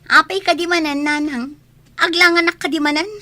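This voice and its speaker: A woman's voice: high-pitched, steady tone, brisk, sharp tone. A woman playing a resentful daughter who whines and complains, in an Ilocano radio comedy drama. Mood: grumbling, irritated. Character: grumbling, resentful adult daughter.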